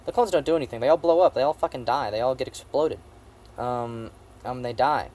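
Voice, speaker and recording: Male amateur film critic, mid-range voice; halting, repetitive, sweary, casual comedic delivery. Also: medium pitch, a little mellow, a little hiss or hum. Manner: halting, repeats phrases emphatically, profane. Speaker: amateur movie reviewer. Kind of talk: rambling casual comedic movie review.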